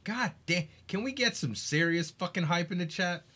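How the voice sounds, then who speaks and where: Excitable man streamer, bright lively voice. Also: high voice, normal speed. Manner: excitable, hypes games, swears, laughs often. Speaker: game streamer. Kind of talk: casual live stream chat.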